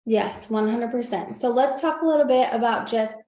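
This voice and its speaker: Encouraging female host, higher voice, roomy echoey video call sound. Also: normal speed, dark tone. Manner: encouraging, guiding questions. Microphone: video call microphone.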